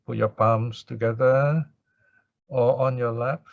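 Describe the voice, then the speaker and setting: A man speaking as a Buddhist meditation teacher, in gentle, unhurried devotional chanting or guided instruction with pauses. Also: mid-range voice, fairly even intonation, gravelly, dry recording. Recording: dull lo-fi recording.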